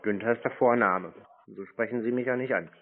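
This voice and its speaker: A man's voice: medium pitch, a little fast, slight background noise. A man in a phone conversation, answering curtly and contrarily, giving nothing away. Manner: curt, stonewalling, contrary. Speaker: scam-baiter taking phone calls. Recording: tinny phone-quality audio.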